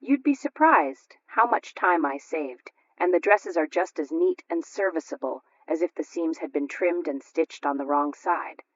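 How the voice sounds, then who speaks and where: A woman's voice: deep voice, lively intonation, measured pace, hardly any pauses, no room sound. A woman voicing a proud, practical housewife in a radio sketch, precise and instructive. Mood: modest, chatty. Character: proud, practical, painstaking housewife at sewing club. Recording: dull lo-fi radio recording.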